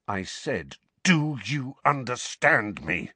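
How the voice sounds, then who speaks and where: Male reader as teenage boy wizard, storybook hero, defiant, needling, sharp-tongued, widely swinging intonation. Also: mid-range voice, wildly swooping pitch, normal speed, no room sound, pitched above the performer's own voice. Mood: angry warning.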